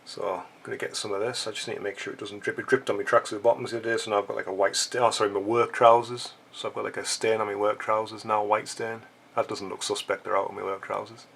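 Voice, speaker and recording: Congested man, gravelly low voice. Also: flat delivery, fast, fairly bright tone. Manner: chatty, joking asides while working. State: stuffy, congested nose. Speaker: fly-tying channel host. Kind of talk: casual tutorial chat.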